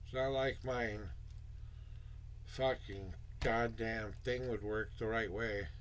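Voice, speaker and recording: A hoarse man hosting a casual tarot livestream, muttering asides to himself and greeting viewers in a loose, chatty way. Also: fairly low pitch, fairly even intonation, a bit bright, a little hiss or hum. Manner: upbeat, drawn-out greetings to viewers. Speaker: tarot reader and livestream host.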